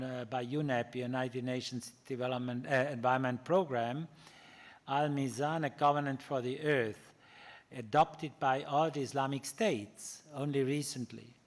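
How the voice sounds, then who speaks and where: A man whose first language is German, giving a public lecture in English, halting often with fillers and restarts. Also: mid-range voice, steady tone. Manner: pauses on single words, slow in places.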